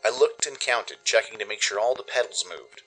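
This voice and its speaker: A man reading scripted first-person story narration in an expressive, dramatic way, as the narrator of a radio play. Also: lowish voice, moderate pace, husky, faint music behind, rough recording.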